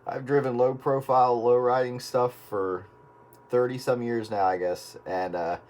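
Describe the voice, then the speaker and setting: A man's voice: medium pitch, steady tone, a little slow, darkish tone. A middle-aged or older man chatting over two-way radio with a dry, wry manner. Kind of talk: casual chat over radio.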